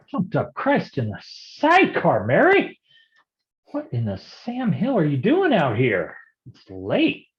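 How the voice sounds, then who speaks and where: An actor playing a hard-boiled male private detective in a noir staged reading, gruff and wisecracking in old-time gumshoe slang. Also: on the high side, huge pitch swings, slow pace, lo-fi sound, heavily acted. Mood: gruff, protective.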